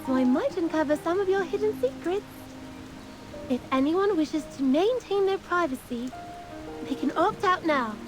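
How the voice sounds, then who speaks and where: A very high, mischievous game fairy, teasing and theatrical in every phrase. Music plays clearly behind the voice. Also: female voice, lively intonation, moderate pace. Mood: excited, scheming. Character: playful teasing female fairy, bored and mischievous. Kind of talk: scripted game voice acting.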